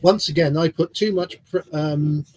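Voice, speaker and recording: A man's voice: a little high, normal speed, a little mellow, rough recording. A middle-aged man from England in a casual chat, talking in a chatty, self-mocking way. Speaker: co-host.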